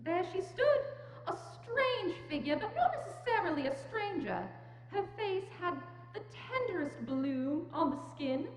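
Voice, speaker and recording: A woman's voice: extremely high pitch, lively intonation, measured pace, over music. A woman narrator giving a scripted dramatic reading of a story. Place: church, live staged reading. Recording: echoey church hall, faint noise.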